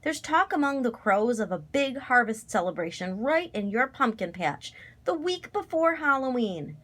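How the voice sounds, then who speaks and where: A woman's voice: a little high, sing-song, moderate pace, a bit bright. Woman as a resigned, motherly scarecrow mom. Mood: concerned. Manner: sighing, folksy.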